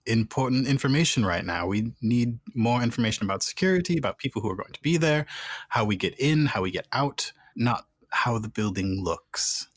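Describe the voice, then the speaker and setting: A man playing a tabletop game in character, talking in a brisk, practical, impatient way. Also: medium pitch, animated, husky, no room sound.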